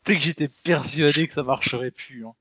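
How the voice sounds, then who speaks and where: A man's voice: on the high side, fairly quick, a bit bright, no echo. Young man, casual slangy gamer. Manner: slangy, informal. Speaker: gamer playing with friends. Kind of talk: casual chat during co-op gameplay.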